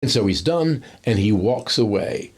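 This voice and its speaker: A man's voice: on the low side, expressive, a little slow, slight background noise. A man giving analytical commentary on film acting in a conversational, explanatory way.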